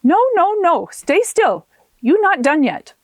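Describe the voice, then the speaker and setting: Woman voicing a bossy high-pitched little herbalist lady. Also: sing-song, measured pace, dry recording. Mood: bossy, reassuring. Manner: clipped broken English, firm and reassuring.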